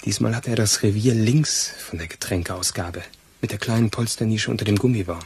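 A man's voice: fairly low pitch, fairly quick, darkish tone, husky. An actor playing an eager, deferential police detective who spins wordy theories to his boss in a German radio crime play. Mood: eagerly explaining.

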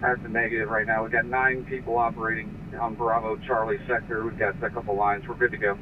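A man's voice: lowish voice, level tone, fast. A man, a fire officer, giving a brief status report over a two-way radio. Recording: tinny, noisy radio transmission.

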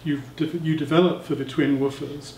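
A man's voice: fairly high pitch, fairly even intonation, moderate pace, a little mellow. A man in his sixties interviewing someone in a technical conversation. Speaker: interviewer, magazine editor. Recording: roomy, distant mic, faint background noise.

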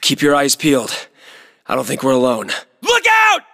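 Tough game soldier, urgent clipped callouts, forceful sharp delivery, long reverb. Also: male voice, medium pitch, huge pitch swings, normal speed, gravelly, heavily acted. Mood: tense, watchful. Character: tough male soldier on a squad fighting aliens. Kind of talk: military shooter game voice lines.

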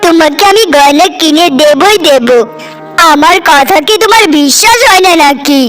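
Put-upon farmer husband played by a woman: high, pleading, exasperated, cartoon drama with faint music. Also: normal speed, fairly bright tone. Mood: pleading, weary. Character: long-suffering younger husband, a village farmer. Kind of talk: Bengali cartoon family drama acting.

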